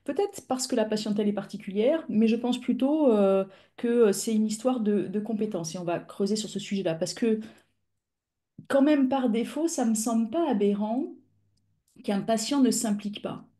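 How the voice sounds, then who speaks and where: Middle-aged woman physio running a webinar over video call, mellow relaxed voice, careful explaining, lots of little pauses. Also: fairly high pitch. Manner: measured, explanatory, with frequent hesitations. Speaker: physiotherapist and pain-education instructor, webinar host. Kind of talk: live webinar talk to clinicians.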